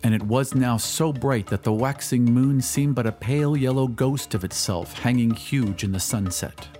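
A man's voice: mid-range voice, normal speed, dark tone. A man giving a dramatic scripted narration of a story, as in an audio drama. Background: music.

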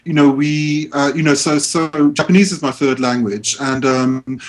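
A man's voice: on the high side, moderate pace. A man in his forties with a South African accent, chatting on a podcast as co-host, talking in a hesitant way with many fillers and restarts. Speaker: podcast co-host, academic researcher. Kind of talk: casual podcast chat.

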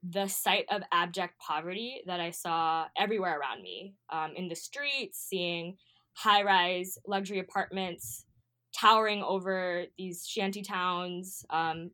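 A woman's voice: on the low side, measured pace, bright, forceful tone. A young American woman, a guest on an interview show, answering in a hesitant, filler-heavy way. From United States. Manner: hesitant, many ums and restarts. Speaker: guest, student advocate.

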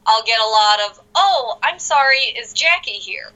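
Bright, lively Midwestern woman telling stories. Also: high voice, moderate pace, dull audio. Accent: American Midwest. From South Korea. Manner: animated storyteller who laughs often. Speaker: guest. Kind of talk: casual conversation.

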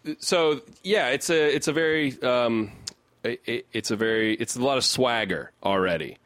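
A man co-hosting a casual comedy music podcast, riffing haltingly and repeating his words as he goes. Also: medium pitch, fairly bright tone, no room sound. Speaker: podcast co-host.

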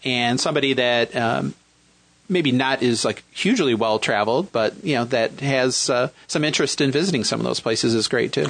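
A man in his fifties with a Midwestern accent, talking casually as a guest, with many pauses and fillers as he searches for words. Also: mid-range voice, creaky, fairly bright tone. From Michigan, raised in Western New York. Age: 50s. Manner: hesitant, many fillers and restarts. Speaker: guest, single dad looking for a partner. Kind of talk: casual chat.

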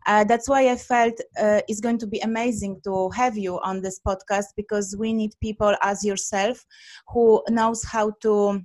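A woman's voice: mid-range voice, fairly even intonation, normal speed. Polish-accented woman hosting a podcast. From Poland. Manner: warm, effusive, many fillers. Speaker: podcast host. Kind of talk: podcast interview.

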